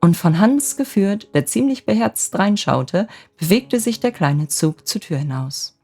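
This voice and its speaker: A woman with a gentle voice narrating a scripted bedtime fairy tale as a storyteller. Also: mid-range voice, lively intonation, measured pace, breathy edge. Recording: music bed under the voice.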